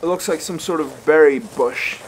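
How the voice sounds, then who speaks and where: A man's voice: a little high, moderate pace, slight background noise, close to an everyday voice, rough recording. An actor playing a weary, sweary survivor narrating his own trek in a dramatic audio series. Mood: weary, determined. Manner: casual, hesitant in dialogue, swearing. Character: sweary, weary male survivor trekking through snowy wilderness. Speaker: narrator and lead character. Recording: music bed under the voice. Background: soundtrack music.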